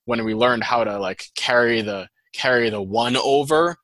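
Male podcast guest, Boston accent, crisp forward tone, chatty explainer. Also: mid-range voice, a bit bright, no echo. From Boston, USA. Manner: chatty, fast-flowing explanations with many "like"s. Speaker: guest, data scientist. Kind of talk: casual interview chat.

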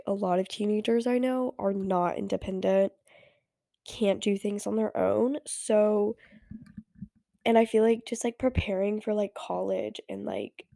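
A woman's voice: medium pitch, vocal fry, warm tone, no echo, studio quality. A teenage girl hosting a casual solo podcast, rambling with many fillers, her voice stuffy from a cold. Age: teens. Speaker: podcast host.

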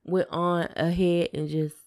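A woman's voice: on the low side, level tone, a little slow, a little mellow. A woman co-hosting a podcast in a casual, conversational style. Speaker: podcast co-host.

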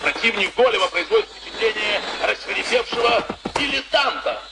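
An actor playing an excitable boxing commentator calling a fight in a Soviet radio play. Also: male voice, sits high, brisk, vocal fry, very noisy. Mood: urgent, excited. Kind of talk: dramatised sports commentary. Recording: archive radio play, loud music behind. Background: loud music.

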